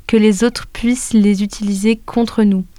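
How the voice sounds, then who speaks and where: A woman's voice: mid-range voice, steady tone, unhurried, mellow, a little hiss or hum. A woman in a casual group discussion, explaining her observations fluently with a few fillers. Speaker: discussion participant.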